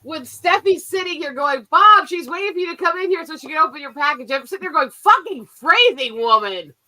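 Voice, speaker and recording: A woman's voice: sits high, animated, brisk, sharp tone. A woman co-hosting a casual livestream chat, blunt and profane, talking over a remote streaming mic. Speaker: stream co-host. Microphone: streaming mic, remote call.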